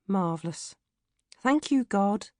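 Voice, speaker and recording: A woman's voice: medium pitch, expressive, takes it slowly, dry recording, clean studio sound. A woman voicing a melodramatic, self-pitying fourteen-year-old British girl in a comic audiobook diary, complaining theatrically. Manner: exaggerated, indignant, theatrical complaining. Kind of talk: comic first-person audiobook diary narration.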